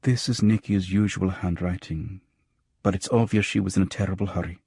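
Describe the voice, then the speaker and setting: A shaken, frightened woman in an audiobook , played by a male narrator, speaks gravely and urgently. The voice is low and husky, recorded very dry. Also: steady tone, a little fast, darkish tone, no echo, full character voice. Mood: subdued, urgent. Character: shaken, frightened woman recounting a friend's murder. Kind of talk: audiobook character dialogue.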